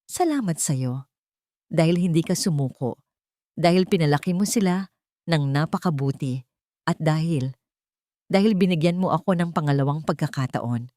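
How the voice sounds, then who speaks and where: A woman voicing a tender, repentant rich father in a story, slow, halting and sincere. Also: low-pitched, lively intonation, warm tone, no echo. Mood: tender, grateful.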